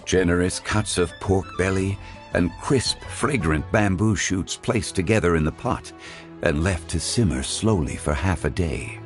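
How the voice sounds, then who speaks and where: A man voicing a courteous, refined gentleman in a video game who speaks in a formal, measured and eloquent way. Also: deep voice, faint music behind. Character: refined, courteous gentleman fond of the finer things. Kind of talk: video game cutscene dialogue.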